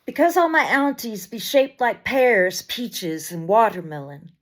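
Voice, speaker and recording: A woman reading poetry aloud as dramatic spoken word, in an intense, deliberate delivery of short, weighted phrases. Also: fairly high pitch, lively intonation. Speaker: poet reading poems aloud.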